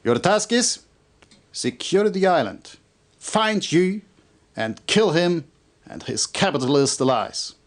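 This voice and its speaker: An intense army officer giving a game briefing, formal and emphatic, voice goes up and down a lot. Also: male voice, high-pitched, big pitch swings, takes it slowly, a little hiss or hum, heavily acted. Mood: commanding, fervent. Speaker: military officer briefing a commander. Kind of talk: dramatic video game cutscene acting.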